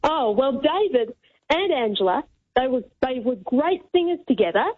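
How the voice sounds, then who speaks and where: High-voiced woman caller on phone. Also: lively intonation, moderate pace. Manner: eager, storytelling. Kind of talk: phone-in chat. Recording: tinny phone line.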